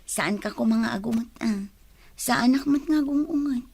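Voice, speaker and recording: A woman's voice: a little high, measured pace, darkish tone, husky, no room sound, studio quality. An actress with an Ilocano Filipino accent playing a meek, lovesick young woman who pleads with her boyfriend in a radio drama. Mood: timid, placating.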